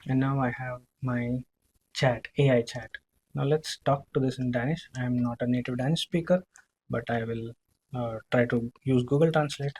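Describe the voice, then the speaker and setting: A man demonstrating software in a live screen-share walkthrough, speaking haltingly, repeating himself and often checking in with a short tag question. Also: medium pitch, steady tone, slight background noise. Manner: halting, repetitive, checking in with "right?".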